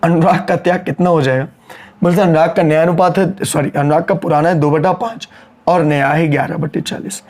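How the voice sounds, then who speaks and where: Hoarse, brisk Hindi-speaking teacher. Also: male voice, a little high, steady tone, a little mellow. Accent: Hindi with English terms. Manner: brisk, scolding, repeats key lines. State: sore, strained throat. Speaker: accountancy teacher. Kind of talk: online lecture, working sums aloud.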